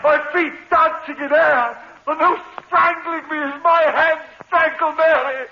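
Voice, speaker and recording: A man's voice: very high voice, steady tone, unhurried, lo-fi sound. A man playing an anguished, haunted murderer in overwrought 1950s horror drama style, on an old broadcast recording. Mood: frenzied. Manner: frantic, melodramatic, overwrought. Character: anguished condemned murderer haunted by a demon woman.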